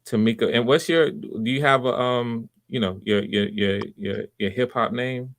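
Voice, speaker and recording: A man hosting live auditions in casual, unscripted chat, with an encouraging manner and a halting way of repeating and backtracking. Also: medium pitch, fairly even intonation, no room sound. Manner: encouraging, supportive. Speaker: host running live voice auditions.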